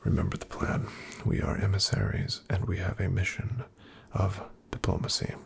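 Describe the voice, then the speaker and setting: A man in an ASMR roleplay voicing a calm, careful paladin travel companion, softly whispering to the listener. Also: sits low, normal speed, creaky, heavily acted. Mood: calm hushed. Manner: soft, gentle whispering to the listener.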